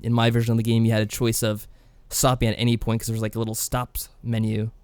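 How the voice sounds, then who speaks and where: A man co-hosting a podcast, casually discussing games in a matter-of-fact, low-key way. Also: completely flat delivery, fast talker, a little mellow, clean tone, no echo. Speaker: podcast co-host.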